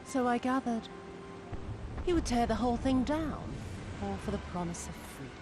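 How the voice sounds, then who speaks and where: A woman playing a bitter, mocking noblewoman villain in a video game, speaking in a theatrical, deliberate way. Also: on the high side, lively intonation, background noise, lightly acted. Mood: cold mockery. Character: bitter, scornful noblewoman villain commanding a castle's defence. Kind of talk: dramatic video game cutscene acting.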